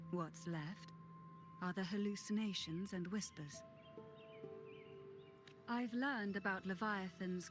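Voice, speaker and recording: An actress playing a composed, resolute noblewoman in a game cutscene, speaking in a measured, firm and confident way. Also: medium pitch, lightly acted. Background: game music.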